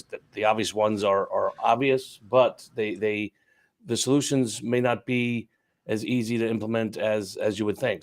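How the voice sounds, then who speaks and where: A man hosting a casual panel discussion on IT, often repeating words and restarting sentences. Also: on the low side. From Long Island, New York. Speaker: show host, IT provider.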